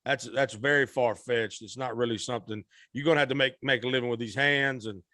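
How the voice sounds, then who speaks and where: A man from Arkansas in his thirties, talking as a podcast guest in a loose, folksy, rambling manner. His pitch sits in an ordinary middle range. The sound is dry and close, with no room around it. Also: fairly even intonation, brisk, sharp tone, no echo. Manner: rambling, self-correcting, folksy. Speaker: guest singer. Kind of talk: relaxed conversational storytelling.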